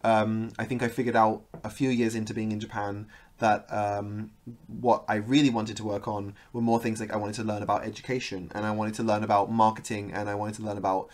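A man hosting an interview, talking casually in a thoughtful, measured way with some hesitation. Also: lowish voice, flat delivery, darkish tone. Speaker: podcast host.

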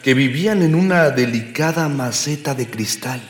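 A man's voice: mid-range voice, lively intonation, moderate pace. A man narrating a radio soap in a florid, pompous, mock-epic style. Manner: pompous, theatrical. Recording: echoey, reverberant, noisy recording.

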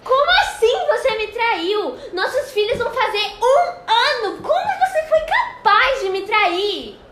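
A woman's voice: extremely high pitch, big pitch swings, a little slow, a bit bright, echoey room, slight background noise, exaggerated voice. A woman acting a wronged, dramatic young wife in an amateur radio soap opera. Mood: outraged. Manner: dramatic, gasping, exclamatory. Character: young wife and model, wounded, swooning over gifts.

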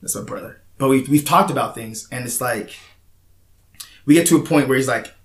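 A man's voice: medium pitch, brisk. A young man hosting a podcast, speaking candidly in a casual, rambling way with frequent restarts and fillers. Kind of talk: casual conversation. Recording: roomy echoey room.

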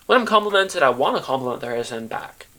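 A man's voice: mid-range voice, very animated, fast, bright, forceful tone. A man performing a first-person audio drama monologue as narrator, in an awkward, earnest storytelling manner. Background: music.

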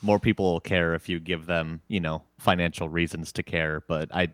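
A man hosting a casual sports podcast, talking in a relaxed, rambling way and chuckling often. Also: low voice, moderate pace, no room sound. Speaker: podcast host.

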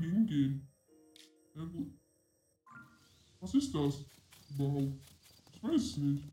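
A woman's voice: deep voice, dark tone. A woman giving casual gameplay commentary as a streamer, her voice run through a voice changer. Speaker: gaming streamer. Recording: echoey room sound, faint music behind.

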